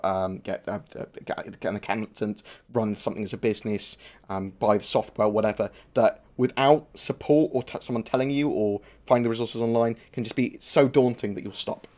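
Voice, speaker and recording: A man's voice: mid-range voice, a little mellow. Rambling man streaming. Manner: rambling, swears, many fillers and restarts. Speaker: streamer answering viewer questions. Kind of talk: casual live-stream chat.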